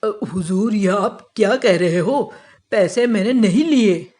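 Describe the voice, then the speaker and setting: Man as a slow-talking, sly servant thief. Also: high-pitched, darkish tone. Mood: nervous, pleading. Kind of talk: Hindi children's moral cartoon dubbing.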